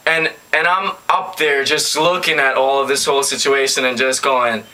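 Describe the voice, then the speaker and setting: A man's voice: mid-range voice, fairly even intonation, normal speed, bright, forceful tone, rough recording. A young man from Bosnia, chatting in a laid-back, easygoing way and chuckling now and then. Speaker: audition video co-presenter. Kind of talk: casual chat.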